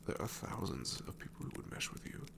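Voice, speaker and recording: A man's voice: a little fast, lightly acted. A man playing an ancient, sassy shadow demon in an ASMR roleplay, wry and world-weary but tenderly reassuring, often slipping into a whisper. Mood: gently reassuring. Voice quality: very deep, gravelly, often breaking into whisper.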